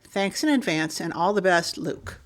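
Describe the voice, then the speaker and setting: A woman co-hosting a podcast, reading a listener's email aloud in a steady, clear manner. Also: on the low side, unhurried.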